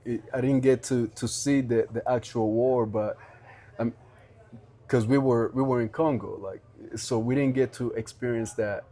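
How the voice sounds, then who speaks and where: A young man from Rwanda hosting a podcast, talking in an earnest, halting way with many restarts. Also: medium pitch, steady tone, dark tone. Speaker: podcast host. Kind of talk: casual interview chat.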